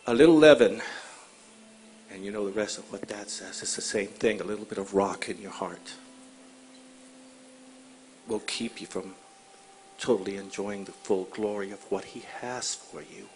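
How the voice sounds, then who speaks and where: A man's voice: lowish voice, moderate pace, pauses often, a little mellow, a little hiss or hum. A man from Puerto Rico in the congregation, hesitant at first and then earnest as he shares a word. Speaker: congregation member. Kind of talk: sharing a prophetic word.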